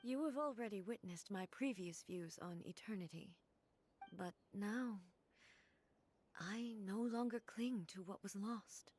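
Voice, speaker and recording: A woman voicing a composed, regal ruler in a video game, speaking in a measured, formal, reflective way. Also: mid-range voice, darkish tone. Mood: calm, reflective. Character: composed, regal female ruler, solemn and philosophical. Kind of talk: video game story dialogue.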